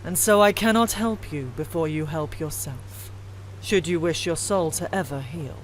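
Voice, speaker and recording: A woman's voice: sits low, moderate pace, slight background noise, natural voice, low-quality recording. A woman voicing a stern, righteous male god who delivers a solemn, declamatory rebuke in a fantasy game story.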